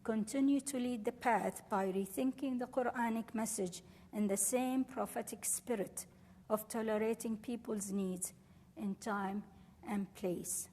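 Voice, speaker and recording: A woman from Syria whose first language is Arabic, giving a measured, earnest university lecture and answering questions. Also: a little high, mellow, in a large hall. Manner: measured, earnest, formal phrasing. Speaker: visiting scholar and lecturer. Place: lecture room.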